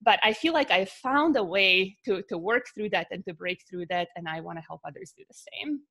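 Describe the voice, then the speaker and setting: A woman's voice: mid-range voice, lively intonation, fairly quick, sharp tone. A woman from Bosnia with a Bosnian accent, a podcast guest talking through her ideas with many fillers and restarts. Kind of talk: casual interview talk.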